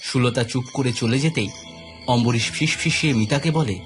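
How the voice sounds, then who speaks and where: A man's voice: medium pitch, brisk. A man reading scripted narration for a dramatic horror audio story. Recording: background music under the voice.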